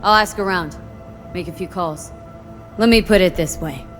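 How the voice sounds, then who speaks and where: An actress voices a streetwise young woman mercenary for a video game. Her voice sits on the low side, her delivery is clipped, guarded and dryly wry. Music plays quietly behind her. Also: moderate pace, natural voice. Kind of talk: naturalistic video game acting.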